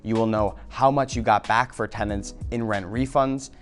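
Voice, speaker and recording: A man's voice: fairly low pitch, fairly even intonation, normal speed, faint music behind. A man in his thirties, a nonprofit founder, giving a polished scripted speech to camera with rhythmic lists. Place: office room.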